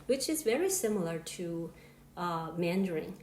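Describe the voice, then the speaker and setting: A woman professor from Sichuan, China, whose first language is the Sichuan dialect, speaking as a podcast guest in a measured way with frequent "um" and "you know". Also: lowish voice, sing-song, darkish tone. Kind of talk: podcast discussion. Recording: roomy echo with faint background noise.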